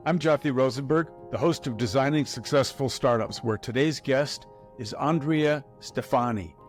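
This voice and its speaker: Brief: male podcast host, mid-pitched voice, faint music under his intro. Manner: reads intros smoothly, halting when asking questions. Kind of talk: interview host with read intro.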